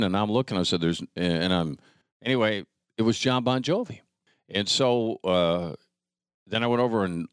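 A man's voice: sits low, no room sound, studio quality. A middle-aged man chatting casually and telling stories in a rambling, self-deprecating way, breaking off mid-thought. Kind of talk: casual chat, storytelling.

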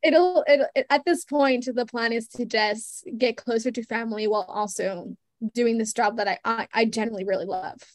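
A young woman in her twenties, a guest journalist chatting casually in an interview, full of "like" and "you know" and quick laughs. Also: fairly high pitch, no room sound.

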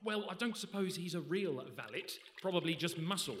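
An actor playing a corrupt ship's officer, wary and threatening, in a radio play. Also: male voice, high voice, expressive, fairly quick, background noise. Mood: grumbling. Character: corrupt, menacing male ship's officer in smuggling. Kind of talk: radio play acting before a live audience. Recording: echoey hall, live stage recording.

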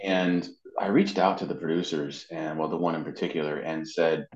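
Deep-voiced man telling stories, echoey room. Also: fairly even intonation, moderate pace. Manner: chatty storyteller, acts out quoted dialogue. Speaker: interviewee, amateur voice actor. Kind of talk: casual anecdotal interview talk. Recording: dull, roomy, echoey sound.

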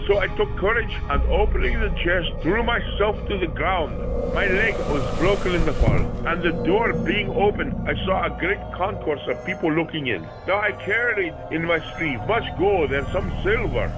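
A man's voice: a little high, lightly acted, low-quality recording. An actor playing an exasperated young man who recounts his misfortunes in dramatic, archaic audio drama narration. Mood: anxious, hurried. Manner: dramatic first-person storytelling in archaic English.

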